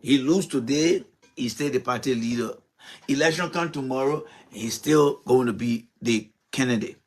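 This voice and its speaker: A man delivers a political monologue as host of his own show. His voice sits at an ordinary middle pitch. He talks with passion, fires off rhetorical questions and circles back to repeat his points. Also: normal speed.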